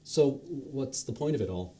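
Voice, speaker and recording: A man's voice: medium pitch, lively intonation, normal speed, mellow, close to an everyday voice. A man reading the lines of a confused, newly dead man in his late forties, asking hesitant questions. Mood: bewildered.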